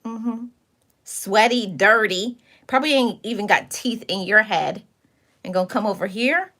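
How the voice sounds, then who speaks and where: A woman hosting a live video stream, chatting straight to her viewers. She is sassy and preachy, mixing motivational talk with blunt warnings. Her voice sits at an ordinary middle pitch for a woman. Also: measured pace, pushed and bright. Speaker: livestream host. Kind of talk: casual livestream talk to chat.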